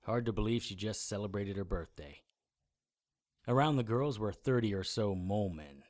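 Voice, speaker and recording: A man's voice: lowish voice, animated, moderate pace, a little mellow, lightly acted. Commanding adventurer hero, played by a man, matter-of-fact. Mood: sober narrating. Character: brisk, commanding male occult adventurer with a sword. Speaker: lead actor and narrator. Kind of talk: audio drama acting and first-person narration.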